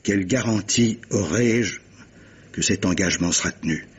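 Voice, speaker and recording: Serious captain in an old french radio mystery, really husky raspy voice, formal, measured, keeps pressing his point. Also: fairly low pitch, dull audio. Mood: sober, probing. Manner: measured, insistent, formal address. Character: grave gentleman captain pressing a guilty woman with hypotheticals. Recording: old radio drama recording.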